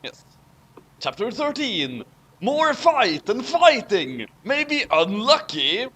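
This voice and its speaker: Slow man with wildly swinging pitch. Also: big pitch swings, bright, forceful tone, a little hiss or hum. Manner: theatrical, over-acted delivery. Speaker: co-host of a dramatic fanfic reading. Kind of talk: group dramatic reading with casual chat.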